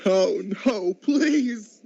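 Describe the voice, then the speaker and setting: A high-voiced, frightened old miser played by a man pleads in slow, stammering phrases, as in a radio play. The recording is dry, dull and lo-fi. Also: animated, gravelly, darkish tone, a little hiss or hum, heavily acted. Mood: anguished pleading. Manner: stammering, halting. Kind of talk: amateur radio drama acting.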